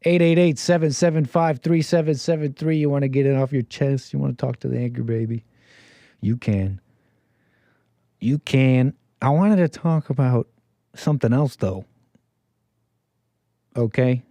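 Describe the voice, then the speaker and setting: A man's voice: mid-range voice, normal speed, pauses often, dark tone, dry recording. An American man hosting a call-in show, rambling casually with lots of restarts and laughs.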